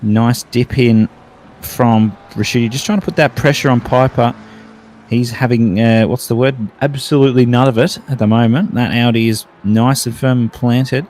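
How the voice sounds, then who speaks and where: A man's voice: medium pitch, fairly even intonation, moderate pace, darkish tone, slight background noise. Australian male race caller, mid-range voice, rolls through gaps and rises with the battles. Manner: running through gaps between cars, lifting with the action. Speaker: race commentator. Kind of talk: sim racing commentary.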